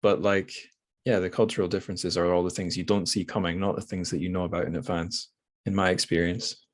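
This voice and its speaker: A man's voice: sits low, level tone, fairly quick. A Scottish man hosting a podcast, talking casually in a rambling way with many restarts and fillers. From Scotland. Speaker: podcast host. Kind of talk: casual conversation.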